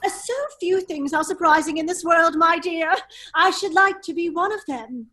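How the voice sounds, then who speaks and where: A woman playing a witty, foppish English gentleman with a secret life, in an affected drawling manner in an amateur drama reading. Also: very high voice, moderate pace. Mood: foppish, teasing. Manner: affected, languid, drawling, exclaiming.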